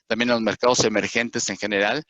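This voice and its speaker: A man with a Mexican accent giving a webinar presentation over slides, halting, with many fillers and restarts. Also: on the low side, little pitch movement, pushed and bright, no room sound. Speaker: webinar presenter on investment indices. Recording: dull, lo-fi sound.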